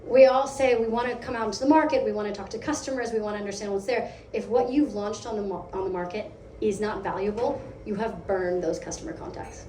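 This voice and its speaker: An American woman leading a product team gives a lively, conversational meetup talk, asking the audience questions. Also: mid-range voice, quick pace. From United States. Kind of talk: live conference talk. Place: event hall. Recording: echoey hall, distant mic, faint hum.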